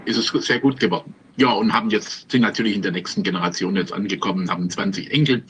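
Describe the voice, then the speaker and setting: A man's voice: lowish voice, fast, a bit bright, a little hiss or hum, poor audio. A man in his seventies with a Swabian accent, introducing himself as a guest over a video call with dry humor. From Stuttgart, Germany. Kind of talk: relaxed self-introduction with dry jokes.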